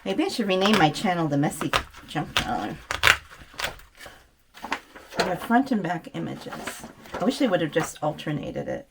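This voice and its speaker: A woman's voice: on the low side, very animated, moderate pace, vocal fry, pauses often, very noisy. A woman chatting casually through a craft project, thinking aloud and correcting herself as she works. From United States. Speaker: craft channel host. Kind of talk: casual craft-along chat, narrating while working.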